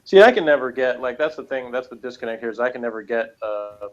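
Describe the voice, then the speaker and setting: A man with a mid-pitched voice talks casually as a review co-host. He rambles, breaking off into skeptical asides between bits he reads out. The recording is slightly dull and lo-fi. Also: animated, quick pace. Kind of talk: casual chat while reading out and reacting to review notes. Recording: dull, lo-fi sound.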